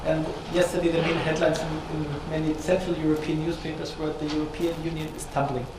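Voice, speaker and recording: Gravelly man lecturing in an echoey hall. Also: a little high, little pitch movement, brisk. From Austria. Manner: chatty, self-correcting, light humor. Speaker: guest lecturer. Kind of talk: academic lecture. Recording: echoey hall from far off, noisy.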